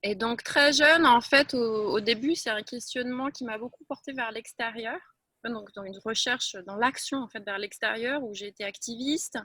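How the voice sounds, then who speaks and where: A woman's voice: fairly high pitch, normal speed, pushed and bright, dry recording. A woman from France, a Taoist teacher, talking frankly and emphatically as an interview guest. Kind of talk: reflective interview talk.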